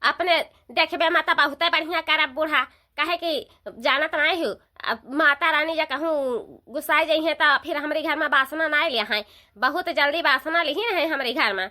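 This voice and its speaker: A woman's voice: high-pitched, bright, forceful tone. A woman voicing a dutiful, chatty village daughter-in-law in a cartoon, with an eastern Uttar Pradesh accent and an emphatic, rambling delivery. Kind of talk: Hindi-belt cartoon dialogue.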